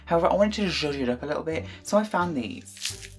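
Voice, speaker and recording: A man's voice: on the high side, expressive, fast talker, husky, faint music behind. A young British man chatting his way through a casual cooking vlog in his bedroom, rambling with lots of ums and self-corrections. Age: young adult. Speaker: vlogger. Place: small bedroom indoors. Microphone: desk webcam at close range.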